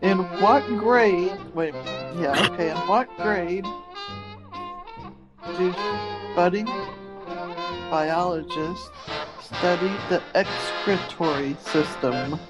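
A woman's voice: fairly low pitch, slow, pauses often, music in the background, low-quality recording. A woman co-hosting a podcast, reading out jokes in casual banter. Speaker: podcast co-host.

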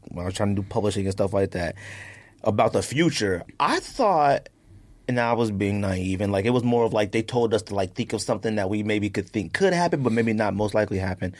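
Animated American man, slangy and lively. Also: lowish voice, fairly quick. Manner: animated, slangy storytelling, often chuckling. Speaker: podcast co-host. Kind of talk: casual chat with anecdotes.

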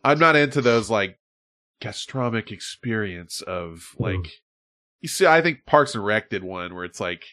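A man's voice: on the low side, expressive, moderate pace, sharp tone. A man hosting a podcast in casual chat, rambling, swearing freely and laughing often. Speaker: podcast host.

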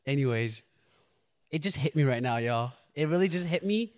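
A young man hosts a casual podcast, earnest and animated, full of slang and quick laughs. His voice sits a little high for a man. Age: young adult. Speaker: podcast host.

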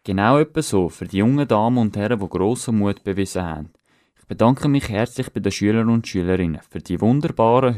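A man's voice: on the low side, a little mellow. A man with a Swiss German accent hosting a radio show in a casual sign-off style. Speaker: radio host.

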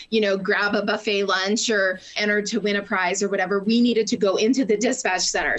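Articulate woman explaining in an interview. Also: mid-range voice. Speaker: public relations director, interview guest. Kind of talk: explanatory interview talk with practical advice.

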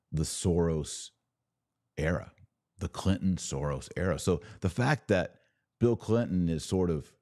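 A man's voice: low-pitched, darkish tone, dry recording, clean studio sound. A man hosting a podcast with conversational opinion commentary, talking emphatically with repeated words, rhetorical questions and a wry, sarcastic edge. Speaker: podcast host.

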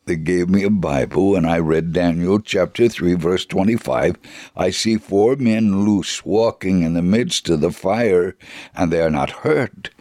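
A man's voice: sits low, unhurried, darkish tone, no room sound, clean studio sound. A man reading a first-person story in a dramatic, scripted storytelling style, acting out the dialogue expressively. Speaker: narrator, reader of a radio story segment.

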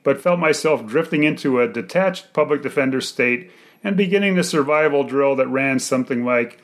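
A man with a mid-pitched voice reads a first-person literary story. He is measured and articulate, delivering it as the writer of the piece. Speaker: writer reading own short story.

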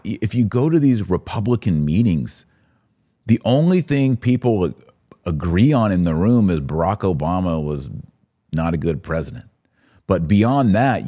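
A low-voiced man delivers opinionated live commentary as a podcast host. His pitch swings widely as he stresses his points. He breaks in with rhetorical questions and speaks straight to his listeners. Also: mellow. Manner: emphatic, rhetorical questions and asides.

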